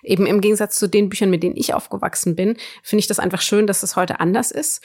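A woman's voice: mid-range voice, fairly even intonation, fast, darkish tone. A woman hosting a book podcast, giving recommendations in an enthusiastic, emphatic way. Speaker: book podcast host and reviewer. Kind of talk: book recommendation, partly scripted, partly conversational.

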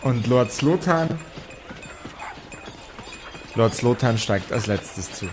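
Male game master, slow measured storytelling for an improvised fantasy game, music bed underneath. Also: mid-range voice, lively intonation. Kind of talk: improvised tabletop game narration.

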